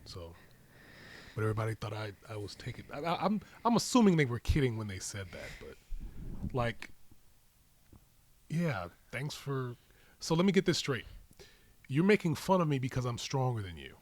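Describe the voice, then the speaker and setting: A man's voice: mid-range voice, big pitch swings, moderate pace, long pauses. A man in casual conversation, speaking bluntly and defensively as a podcast co-host. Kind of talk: casual chat. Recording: noisy amateur podcast audio.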